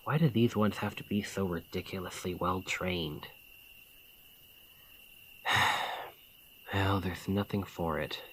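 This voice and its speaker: A man acting a bandit leader in an ASMR fantasy roleplay, speaking in a colloquial, deliberate, conspiratorial manner. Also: deep voice, slight background noise, low-quality recording. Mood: tense, frustrated. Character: gruff but caring male bandit leader, scheming, informal. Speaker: voice actor in audio roleplay.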